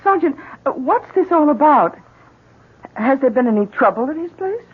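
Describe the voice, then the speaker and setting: An actress in a 1970s radio drama playing a worried, loyal farmhouse wife, heard through muffled broadcast sound. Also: female voice, sits high, big pitch swings, moderate pace. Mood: uneasy.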